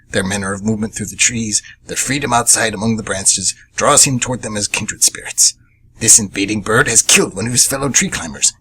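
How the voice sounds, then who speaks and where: A man narrates a scripted story in a low, bright voice. He reads at a quick clip for a radio theatre piece. Some background noise sits under the recording. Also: poor audio.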